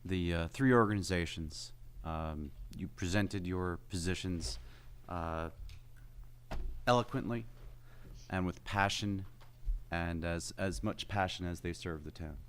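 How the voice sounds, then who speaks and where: Deep-voiced man speaking off the cuff. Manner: slightly halting, repeats phrases. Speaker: township committee member. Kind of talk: unscripted remarks at a public meeting.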